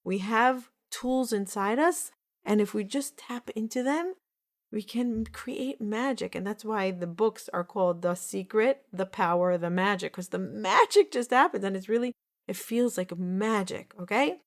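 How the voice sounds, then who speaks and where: A woman's voice: medium pitch, a little slow. Earnest woman in her forties, storytelling. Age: 40s. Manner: earnest, repetitive, restarts often. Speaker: podcast host. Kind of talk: personal storytelling monologue.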